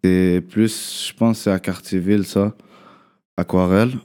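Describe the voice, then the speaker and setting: A young man is answering questions in a relaxed interview. His is Arabic. He speaks haltingly, restarts his phrases and tags sentences with a loose "là". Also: low-pitched. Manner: halting, repeats words, trails off with "là". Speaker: guest rapper. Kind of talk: casual interview chat.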